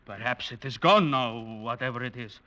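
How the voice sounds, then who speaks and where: A male actor as a determined, practical villager with a bright, forceful voice of middling pitch. It is a vintage radio play with dull sound. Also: normal speed, close to an everyday voice. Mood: resolute. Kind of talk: 1940s radio drama acting.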